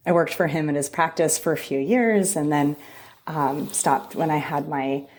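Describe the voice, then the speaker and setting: A woman's voice: low voice, normal speed. A middle-aged woman from Southern California, an immigration attorney telling her story as an interview guest, with frequent restarts. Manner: warm storytelling with many restarts. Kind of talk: conversational interview.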